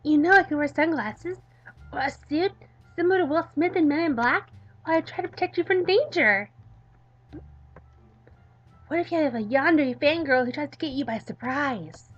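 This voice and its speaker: Woman putting on an excitable, high-pitched pestering girl. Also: moderate pace, pauses often, muffled recording, soft background music, natural voice. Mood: eager, gushing. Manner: excitable, pleading, gushing. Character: peppy, pestering teenage girl, eager and oblivious.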